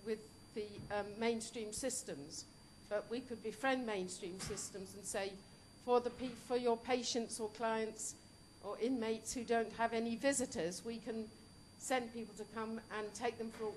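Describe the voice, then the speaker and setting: A woman's voice: fairly high pitch, fairly even intonation, unhurried, hardly any pauses, a little mellow, slight background noise. A young adult woman speaking at a conference panel table, answering a question with many fillers and restarts. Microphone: table microphone.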